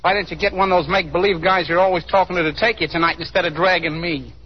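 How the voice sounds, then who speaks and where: A sarcastic young man with a high-pitched voice, blunt and impatient. He grumbles and wisecracks in slangy 1930s radio style. The broadcast sound is dull and muffled. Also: fairly even intonation, fast, slightly rough. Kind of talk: 1930s radio drama acting.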